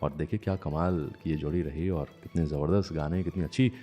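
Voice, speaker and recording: A man's voice: very deep voice, steady tone, quick pace, dark tone, long reverb tail. A man hosting a radio show, telling stories in a conversational way. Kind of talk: conversational storytelling radio presentation. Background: music.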